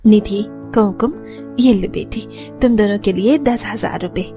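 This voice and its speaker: A woman voicing a gentle middle-aged mother in a cartoon family story, speaking in a measured, motherly way. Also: mid-range voice, music in the background, lightly acted.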